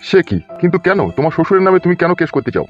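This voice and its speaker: A man's voice: high-pitched, animated, very fast, highs cut off, over loud music. A man voicing a foul-mouthed, bullying police officer in a comedy cartoon, scolding and domineering. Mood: stern, questioning. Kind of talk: Bengali comedy cartoon dubbing.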